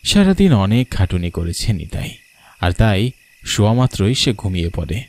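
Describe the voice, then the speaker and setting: A man's voice: lowish voice, big pitch swings, normal speed. A man narrating a scripted horror cartoon story. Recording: faint background music. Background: faint music.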